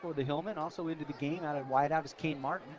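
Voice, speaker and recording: A man's voice: fairly high pitch, steady tone, fast talker, muffled recording. American male football commentator over crowd noise. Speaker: radio color commentator. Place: outdoors at a high school football game. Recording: outdoor stadium broadcast with crowd noise. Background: crowd at a stadium.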